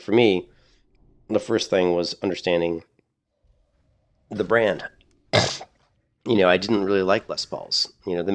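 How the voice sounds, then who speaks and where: A man in a casual, rambling chat about guitars, losing his voice a little and clearing his throat often. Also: sits low. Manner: rambling, repeats himself, frequent throat clearing. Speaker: streamer.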